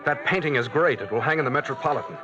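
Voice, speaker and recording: An actor playing a morose, fearful husband haunted by guilt, brooding and pleading in old-time radio mystery style. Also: male voice, medium pitch, very fast, over music, close to an everyday voice. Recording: dull vintage radio recording.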